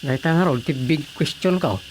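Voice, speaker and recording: A man's voice: a little high, brisk, a little hiss or hum, lightly acted, poor audio. A man with an Ilocano accent playing a grumbling village official who mutters and muses to himself in a radio comedy drama. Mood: teasing.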